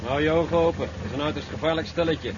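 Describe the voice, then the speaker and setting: A man's voice: fast, close to an everyday voice. An actor playing a stern, officious policeman at a roadblock in a radio drama, curt and commanding. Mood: stern, official. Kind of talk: 1970s radio drama acting. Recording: muffled, noisy old radio recording, engine rumble. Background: idling vehicle engine.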